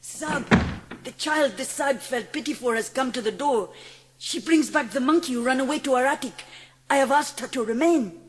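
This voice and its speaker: A woman voicing a respectful, quietly eager Indian manservant who speaks formally in an audiobook drama. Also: sits high, moderate pace, slight background noise, natural voice. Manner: formal, deferential.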